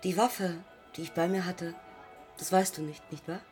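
A woman's voice: fairly low pitch, steady tone, normal speed, darkish tone, faint music behind, lightly acted. An actress playing a proud yet self-doubting woman aviator in a poetic radio play, confessing in clipped, terse fragments. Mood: probing. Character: heroic, self-doubting young woman aviator who took her own life.

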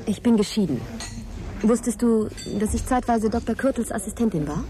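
An actress playing a guarded, sceptical middle-aged scientist in a naturalistic radio drama, direct and questioning in conversation. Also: female voice, on the high side, lively intonation, normal speed, close to an everyday voice. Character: guarded divorced female scientist, sceptical, frank, wary. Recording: crowd chatter behind, café scene. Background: café crowd chatter.

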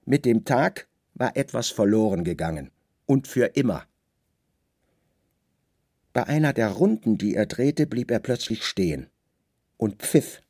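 Male narrator for literary radio drama: deliberate, dramatic phrasing, mid-pitched, gravelly texture, dry studio sound. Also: animated, dark tone, dry recording.

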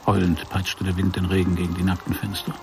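A hard-boiled private eye, telling his story in a low voice with a gravelly edge. He is dry and sardonic, measured in delivery, with faint music underneath. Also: monotone, a little mellow. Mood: wry, atmospheric. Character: wry, hard-boiled male private detective narrating his case. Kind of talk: scripted first-person noir radio play narration.

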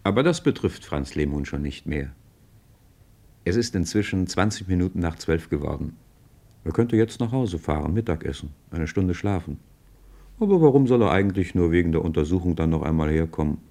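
A man's voice: low voice, lively intonation, brisk, mellow. An actor playing a knowing male narrator in a radio play, conversational and wry as he follows his hero. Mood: wry, familiar. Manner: conversational, wry, present-tense commentary. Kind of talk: scripted radio drama narration.

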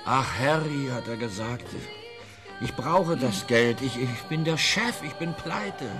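A man's voice: mid-range voice, lively intonation, slow, over music. An actor playing an evasive tailor turned informant in a German radio play, telling his story in a hesitant, ingratiating, roundabout way. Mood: eager storytelling.

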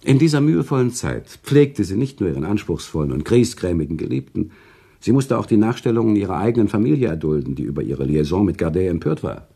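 A compassionate, serious author in a radio play. His low voice is gravelly and dark, and it moves widely in pitch as he speaks in measured, literary sentences. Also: sing-song, heavily acted. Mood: earnest recounting. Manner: measured, literary, earnest delivery. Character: grave, compassionate male author recounting a friend's tragic love. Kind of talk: German radio play storytelling and dialogue.

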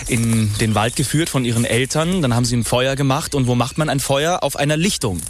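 A man's voice: medium pitch, fairly quick, lightly acted. An actor playing an earnest, obsessive fairy-tale archaeologist who explains his theory pedantically, with insistent leading questions, in a naturalistic radio drama. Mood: pedantic, insistent. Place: outdoors in woodland. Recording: faint outdoor background noise.

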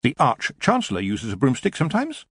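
A man's voice: mid-range voice, wildly swooping pitch, a little fast, a bit bright, husky, dry recording, clean studio sound, voice pushed up, full character voice. A man voicing a determined young woman witch in an audiobook, speaking earnestly and frankly. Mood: confident. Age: young adult. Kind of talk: audiobook character voice.

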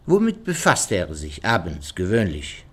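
Radio crime drama: courteous, sharp-witted sleuth, very varied melody, formal questioning with deliberate pauses. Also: male voice, low-pitched, wildly swooping pitch, husky, heavily acted. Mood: probing, polite. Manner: formal, probing, ponderous with pauses and murmurs. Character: shrewd, courteous male detective questioning witnesses.

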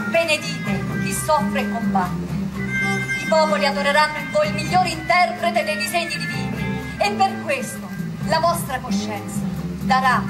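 High, solemn oratorical narrator over music. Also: female voice, poor audio. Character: solemn woman narrator declaiming a visionary patriot's letter. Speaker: actress and narrator. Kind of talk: theatrical reading of a historical letter.

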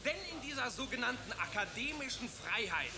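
A man's voice: extremely high pitch, sing-song, a little fast, very noisy, heavily acted. An actor in a radio drama playing an indignant man who scornfully reads out and mocks a writer's words.

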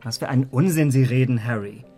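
Radio play: male actor as impressionable young romantic gentleman, effusive and earnest, medium pitch, over music. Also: fast talker, close to an everyday voice. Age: young adult. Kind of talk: German radio play acting. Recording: clear music behind the voice.

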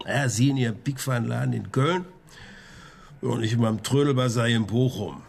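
A man's voice: medium pitch, moderate pace, creaky, a little hiss or hum, natural voice. An actor playing a chatty, sly male junk-shop dealer under questioning in a radio crime drama, rambling colloquially with frequent tag questions. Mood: sly, amused.

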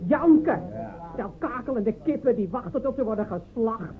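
A woman's voice: a little high, lively intonation, normal speed, a crowd in the background, natural voice. An actress playing a fierce, scornful village woman in a radio drama, mocking and scolding. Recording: tinny band-limited old radio recording, crowded.

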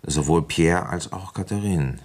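A man playing a calm, probing village police commissioner in a German radio crime drama, speaking in a dry, matter-of-fact way. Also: deep voice, measured pace. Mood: grave, probing.

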